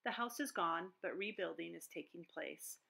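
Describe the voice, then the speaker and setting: A middle-aged woman author presenting to an online audience in a measured, storytelling manner. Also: on the low side, gravelly, pushed and bright, breathy edge. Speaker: children's book author.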